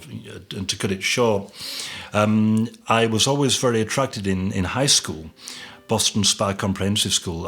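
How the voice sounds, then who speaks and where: A man's voice: lowish voice, a bit bright. A middle-aged man from England, a drummer and music teacher, chatting casually from home with rambling, self-deprecating asides and frequent restarts. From Yorkshire, England. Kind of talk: casual interview chat. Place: at home on a sofa.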